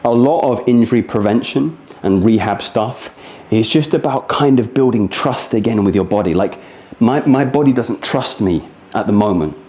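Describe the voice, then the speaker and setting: A man's voice: mid-range voice, normal speed, a little mellow. A man talking casually and earnestly while demonstrating rehab exercises, in a confiding, conversational fitness vlog manner. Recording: echoey, roomy sound.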